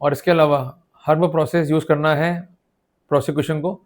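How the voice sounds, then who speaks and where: A man's voice: a little high, level tone, a little fast. A man with an Indian accent teaching law in an explanatory, didactic lecture style. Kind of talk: explanatory legal lecture mixing Hindi and English.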